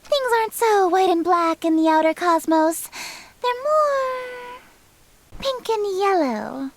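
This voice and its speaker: High, swooping haughty goddess girl. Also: sing-song, slow, a little hiss or hum, full character voice. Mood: condescending, coy. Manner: theatrical, pompous, archaic flourishes. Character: haughty theatrical eldritch goddess girl, coy and menacing. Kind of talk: scripted visual novel voice acting.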